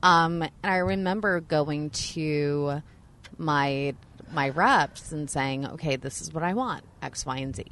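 A woman's voice: sits low, takes it slowly, a bit bright, slight background noise. A woman in her thirties hosting a casual podcast chat, rambling with lots of fillers and swearing freely. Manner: chatty, rambling, many fillers, profane, laughs a lot.

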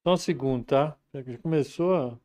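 A man hosting a casual live market commentary, relaxed and chatty, reading viewer comments aloud. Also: a little high, normal speed. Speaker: market commentary livestream host.

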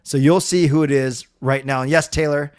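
A middle-aged man with a loud voice hosting a casual hockey livestream, rambling with fillers and restarts. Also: on the high side, fairly even intonation, moderate pace, smooth. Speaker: livestream host.

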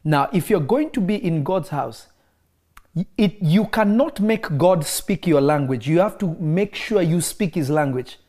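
A man who grew up in Kenya, preaching in a relaxed interview and driving his points home by repeating key phrases. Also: on the high side, a little slow. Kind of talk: conversational preaching.

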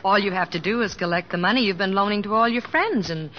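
An actress playing an affectionate, practical young wife in an old radio drama, speaking with gentle, teasing warmth as she tells her story. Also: mid-range voice, quick pace, a little hiss or hum, close to an everyday voice. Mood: fond. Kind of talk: 1950s radio drama acting and narration. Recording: dull old radio broadcast with music.

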